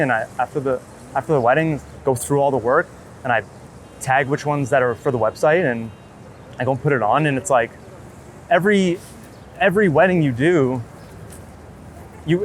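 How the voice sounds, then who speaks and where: A man talking casually and earnestly as an interviewed photographer, rambling in a halting way. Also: on the high side. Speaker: street and wedding photographer being interviewed. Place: outdoors on a city street. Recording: street noise, passing traffic.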